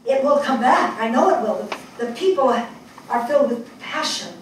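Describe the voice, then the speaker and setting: An older woman acting a grieving, resolute New Yorker in a dramatic stage reading, speaking in emphatic, deliberate short phrases. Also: fairly high pitch, lively intonation, natural voice. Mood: wistful, resolute. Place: indoor theatre stage. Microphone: distant camera. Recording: echoey stage, distant mic, faint noise.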